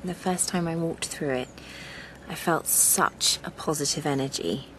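A woman's voice: deep voice, a little slow, slight background noise, low-quality recording. An actress playing an earnest, stubborn, spiritual woman with an English accent in a naturalistic radio drama. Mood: wistful, earnest. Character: earnest, wounded, stubborn spiritual woman fighting for her land.

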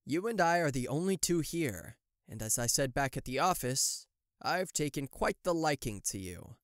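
A man's voice: on the high side, lively intonation, moderate pace, clear, resonant tone, dry recording, clean studio sound, close to an everyday voice. A man voicing a possessive, smug TV-headed demon CEO in a theatrical, condescending yandere roleplay spoken to the listener. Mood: smug, menacing. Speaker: voice actor.

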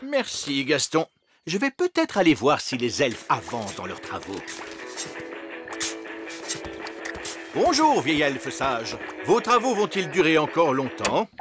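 A man's voice: on the high side, huge pitch swings, moderate pace, over music. An actor playing a mild, polite, hesitant fairy king and father in a French children's cartoon.

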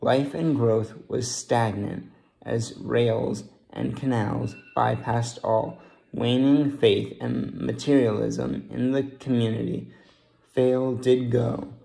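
A man solemnly reciting historical verse as a student narrator, in a measured manner. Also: mid-range voice, fairly even intonation, vocal fry, warm tone, poor audio.

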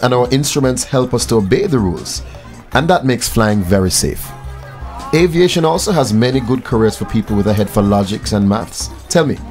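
A man plays a young Jamaican pilot in a radio drama. He is affable and humble, explaining things earnestly in a medium-pitched voice, with faint music at times. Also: darkish tone, lightly acted.

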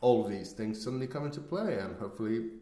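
Animated, halting man in echoey room. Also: fairly low pitch. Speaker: podcast host. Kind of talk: casual chat. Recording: roomy, echoey sound.

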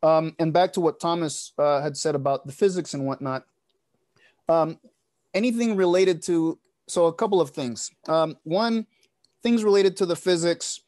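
A man's voice: a little high, normal speed, a little mellow. A man hosting a panel webinar over a video call, thinking aloud with fillers and restarts. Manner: thoughtful, many fillers and restarts. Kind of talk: casual panel moderation. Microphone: wired earbuds on a video call.

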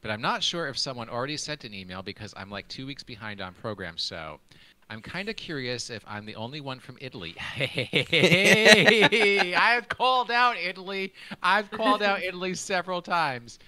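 A man's voice: a little high, lively intonation, normal speed, pushed and bright. A man hosting a casual podcast over a video call, chatting and reading out a listener's email with laughing, self-deprecating asides. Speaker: podcast host.

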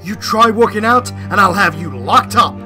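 An actor playing an indignant, threatening military officer in an audio drama, officious and blustering. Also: male voice, sits high, animated, moderate pace, music in the background.

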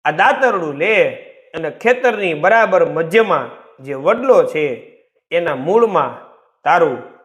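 A man voicing a tender, suffering, self-sacrificing village mother in a story, speaking slowly and solemnly. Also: fairly high pitch, expressive, fairly bright tone, echoey room.

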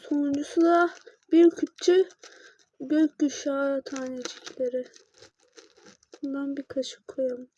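Young female gamer, high voice, chatty running commentary with excitable spikes. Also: slow pace, pauses often, highs cut off. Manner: chatty, narrates each step aloud, excitable bursts. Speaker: player narrating her game. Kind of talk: casual gameplay roleplay narration.

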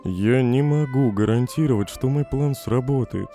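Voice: mid-range voice, moderate pace, warm tone, faint music behind, lightly acted. A performer voicing a troubled, self-doubting male skeleton monster in an emotional comic dub. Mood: weary, frustrated.

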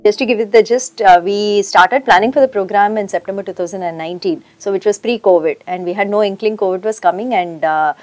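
A woman's voice: mid-range voice, a little fast, few pauses, a little mellow. A woman, explaining program planning in a documentary interview and posing rhetorical questions.

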